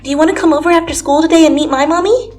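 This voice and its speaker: A woman voicing an excitable, chatty, insistent second-grade girl in a dramatic story reading. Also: sits high, racing, voice pushed up, natural voice. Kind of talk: dramatic fan fiction reading. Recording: music under the voice.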